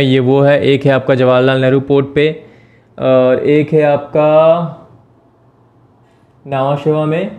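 A man talking through a screen-share tutorial as the channel host, explaining step by step with frequent filler pauses. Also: on the high side, a little mellow.

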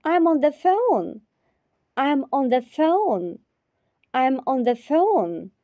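A woman teacher repeating short phrases slowly and carefully in a repetition drill. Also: high-pitched, sing-song, no room sound, phone-quality sound. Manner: repeats each phrase three times, carefully.

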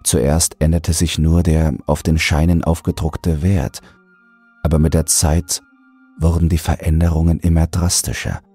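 A man reads a horror story as a dramatic narrator. His voice is extremely deep and his delivery slow, measured and suspenseful. Quiet music plays underneath. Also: little pitch movement, breathy edge, no room sound. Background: soft music.

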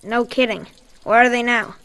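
A woman's voice: on the high side, fairly even intonation, normal speed, fairly bright tone, in a large hall. An actress playing an earnest, devout boy in a radio drama, plain-spoken, urgent and determined. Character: earnest, devout boy living rough underground. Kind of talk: post-apocalyptic radio drama acting.

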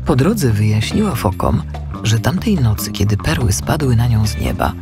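A man narrating a scripted children's audiobook with expressive storytelling. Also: mid-range voice, moderate pace, vocal fry, over music.

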